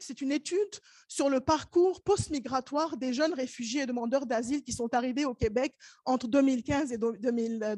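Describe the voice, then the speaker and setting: Une femme chercheuse qui présente des résultats de recherche lors d'une table ronde universitaire, d'un ton sérieux et insistant. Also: female voice, sits high, a little mellow, no echo. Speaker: researcher presenting. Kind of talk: academic panel presentation. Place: university conference hall.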